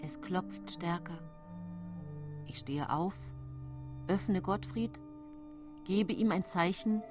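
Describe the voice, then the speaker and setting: An actress playing a secretive nineteenth-century woman poisoner who tells her own story in hushed, composed radio drama narration. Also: fairly low pitch, takes it slowly, music in the background. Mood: tense, watchful. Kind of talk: intimate first-person radio drama narration.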